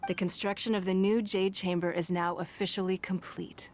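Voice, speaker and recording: A woman voicing a poised, calculating noblewoman in a video game, speaking in a measured, formal way. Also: on the low side, level tone, slight background noise, close to an everyday voice. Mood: composed. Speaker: wealthy city leader.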